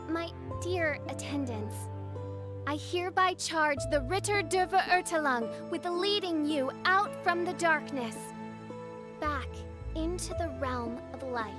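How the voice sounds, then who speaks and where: A woman's voice: very high-pitched, lively intonation, takes it slowly, over loud music, heavily acted. A woman playing a theatrical teenage girl adventurer who talks like a grandiose princess in a video game, with pompous archaic diction. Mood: imperious, grandiose. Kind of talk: video game cutscene voice acting.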